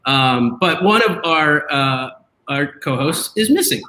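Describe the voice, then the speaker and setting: High bright-voiced man hosting a drinks show. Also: a little slow, echoey room, poor audio. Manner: animated, joking, laughs often. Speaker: host. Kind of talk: casual online show banter.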